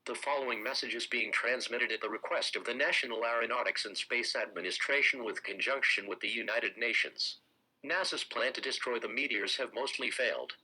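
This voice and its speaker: A man's voice: mid-range voice, sharp tone, breathy edge, low-quality recording. A synthetic-sounding man reading scripted emergency broadcast announcements in a formal, impersonal manner.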